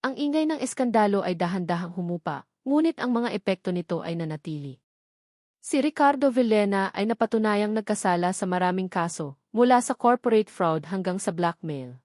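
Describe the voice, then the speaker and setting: A woman with a Filipino accent reads a scripted romance story as narrator, with measured, expressive dramatic delivery. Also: medium pitch, dry recording, clean studio sound.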